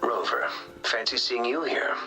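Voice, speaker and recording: An actor playing an analytical male researcher in a game cutscene, explaining things calmly. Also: mid-range voice, a little slow. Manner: calm, explanatory. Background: game music.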